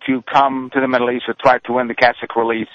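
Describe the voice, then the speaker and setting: A man who is a journalist, a guest on a radio show, telling his reporting in a halting way with many fillers. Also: medium pitch, level tone, no room sound, muffled recording. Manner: hesitant, many fillers, eager storyteller. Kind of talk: radio interview.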